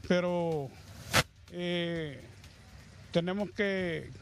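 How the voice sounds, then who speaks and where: A middle-aged man with a Honduran accent interviewed outdoors about losing his home, speaking slowly and haltingly with religious phrasing, into a handheld mic. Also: sits high, creaky, noisy background. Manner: slow, halting, pious. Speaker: fire victim interviewed. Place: outdoors by a burned house. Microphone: handheld reporter mic.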